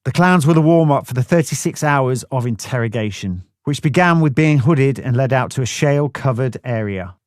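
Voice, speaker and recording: A man's voice: mid-range voice, expressive, normal speed, hardly any pauses, dry recording, studio quality. A man narrating a first-person memoir audiobook in a blunt, plain-spoken way, swearing freely.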